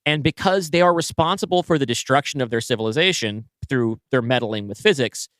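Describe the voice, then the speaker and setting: A man co-hosting a podcast, brainstorming story ideas in a casual, halting conversation as he thinks aloud. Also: medium pitch, expressive, fairly bright tone, dry recording. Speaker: podcast co-host and story writer.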